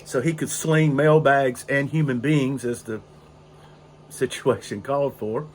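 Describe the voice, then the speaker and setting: An older man, raspy and hoarse from a cold, rambling slowly through a casual story on his porch. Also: medium pitch, fairly even intonation, slight background noise. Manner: slow, rambling, with frequent fillers. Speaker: pipe-smoking video host. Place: outdoor porch in drizzling rain.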